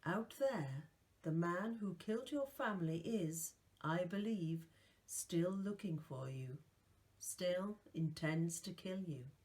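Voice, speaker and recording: A calm, ageless guardian who is no longer alive, as a woman reading aloud puts him on, speaks in a soft, velvety voice. His words come low and unhurried, grave and measured, the voice of a storybook protector.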